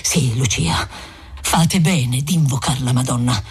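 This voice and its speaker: A woman's voice: extremely low pitch, a little slow, darkish tone, husky, pitched below the performer's own voice, exaggerated voice. A woman reading an audiobook voices a young male peasant who talks in a hurt, indignant, insistent way. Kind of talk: audiobook reading of a classic novel.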